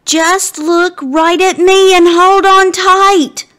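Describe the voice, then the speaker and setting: A woman's voice: extremely high pitch, fairly even intonation, takes it slowly, a bit bright, pitched above the performer's own voice, heavily acted. A woman voicing a loyal young frontier boy in a storybook, earnest and emphatic as he calls to his friend. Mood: urgent hollering. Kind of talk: storybook character voice.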